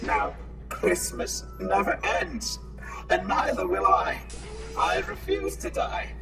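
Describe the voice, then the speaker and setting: A distant-sounding old half-mechanical villain, bombastic and menacing. The gravelly voice swings wildly in pitch with grandiose theatrical flourish, over loud music in an audio drama. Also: male voice, very animated, takes it slowly, heavily acted. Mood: defiant. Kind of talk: audio comedy drama acting.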